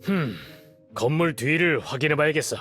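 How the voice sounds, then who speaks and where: A man's voice: fairly high pitch, moderate pace, a bit bright, soft background music. A man voicing a suspicious patrolling guard in a video game.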